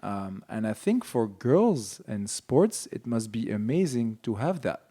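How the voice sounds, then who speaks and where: A man's voice: mid-range voice, sing-song, unhurried, warm tone. A man in a casual panel discussion who speaks hesitantly, with many fillers and restarts. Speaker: podcast panelist.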